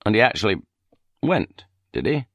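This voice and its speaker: A patient, sympathetic police sergeant, read by a male narrator with a low voice. He talks quietly and gently, sometimes haltingly, in a dry, close recording. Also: big pitch swings, no room sound. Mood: gentle.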